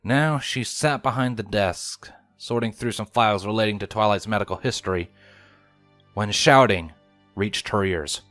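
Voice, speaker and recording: A man's voice: fairly low pitch, normal speed, a bit bright, no room sound, faint music behind. A man reading fan fiction aloud as a scripted story narration.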